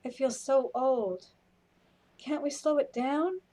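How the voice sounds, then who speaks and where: A woman plays a wry, weary female companion in a sci-fi radio drama. Her voice sits fairly high, and she takes her lines slowly and deliberately. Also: mellow. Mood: weary, wondering. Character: unhurried, matter-of-fact companion, wry and weary. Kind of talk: radio play acting.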